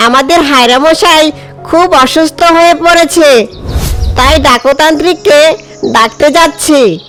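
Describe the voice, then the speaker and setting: A woman's voice: high voice, measured pace, a bit bright, faint music behind. A woman voicing a crow in a cartoon, bringing alarming news in an exclaiming, gossipy manner. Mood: urgent.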